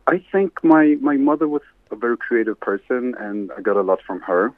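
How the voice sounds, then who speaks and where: A man from Sweden, answering interview questions in a rambling, halting way with many restarts. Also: medium pitch, expressive, no room sound. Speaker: interview guest, entrepreneur. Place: salad bar. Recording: tinny phone line.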